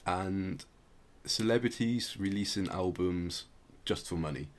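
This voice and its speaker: A man's voice: low voice, unhurried. A man hosting a podcast, explaining things in a casual, rambling way with plenty of hedging. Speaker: podcast host.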